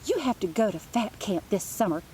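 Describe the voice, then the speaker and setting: A woman's voice: medium pitch, big pitch swings, a little fast, mellow, pitched above the performer's own voice, lightly acted, rough recording. A brazen young schoolgirl bully from a storybook, acted out by a woman reader. She is sly and bossy, speaking in a blunt, taunting way over faint background noise.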